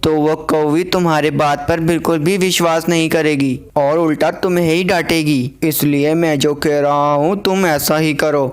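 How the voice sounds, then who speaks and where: A male owl friend from a children's cartoon, acted by a man, helpful but scheming. He advises persuasively in a fairly high, clean voice, sounding matter-of-fact. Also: steady tone, moderate pace, few pauses, smooth, lightly acted. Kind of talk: children's cartoon voice acting in Hindi.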